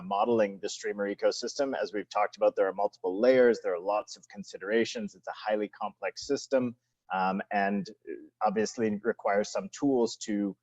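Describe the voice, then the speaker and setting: A man's voice: on the low side, a little mellow, no echo. A man moderating a technical discussion in a conversational style. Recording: dull, lo-fi call audio.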